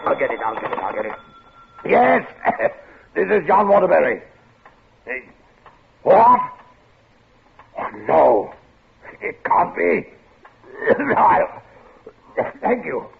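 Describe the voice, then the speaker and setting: A man's voice: high-pitched, sing-song, slow, gravelly, long pauses, heavily acted. An actor playing an older male boss struck by an asthma attack, gasping and coughing, in a 1950s radio drama. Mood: choking. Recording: tinny old radio broadcast.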